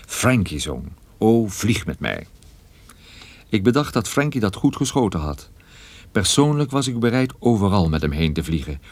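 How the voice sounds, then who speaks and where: An actor playing a world-weary private detective, narrating his case in a radio play with dry, unhurried wit. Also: low voice, a little mellow, dry recording, full character voice. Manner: dry, wry, unhurried storytelling. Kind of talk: radio drama first-person narration.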